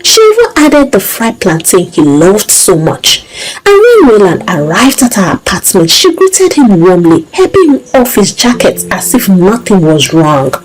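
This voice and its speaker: A woman narrating a scripted story in a dramatic, expressive and emotive way. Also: medium pitch, a little slow, rough recording. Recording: music behind the voice. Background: music.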